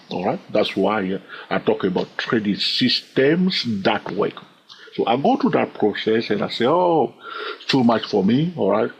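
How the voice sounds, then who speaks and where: Animated man teaching trading, husky voice. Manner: repeats "all right?" to the viewer, asks questions; animated, sudden upward pitch jumps. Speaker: trading educator. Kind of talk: screen-share trading lesson.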